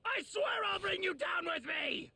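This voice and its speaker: An actor playing a vain, spiteful male demon villain in an anime game, sneering and theatrical with a raspy voice. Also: very high voice, expressive, measured pace, pushed and bright, shouting, exaggerated voice. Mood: enraged, wailing. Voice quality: raspy, gravelly. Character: not human, a demon.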